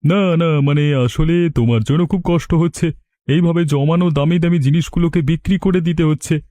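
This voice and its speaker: A man acts a village goldsmith in an audio story. His fairly high voice is mellow and closely recorded, with no room sound. He is sly, ingratiating and falsely sympathetic. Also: moderate pace, no room sound, lightly acted.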